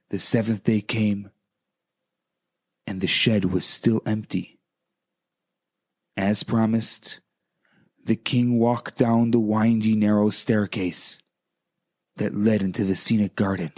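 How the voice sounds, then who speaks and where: A man speaking as a rabbi on radio, in a dramatic, inspirational storytelling style, deliberate and emphatic. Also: on the low side, breathy edge. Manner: deliberate, emphatic, repeats phrases for effect.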